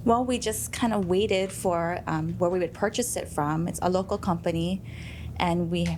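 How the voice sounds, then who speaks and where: A woman's voice: lowish voice, fairly even intonation, normal speed, a little mellow. A woman business owner giving brief, plain-spoken interview answers. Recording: background noise.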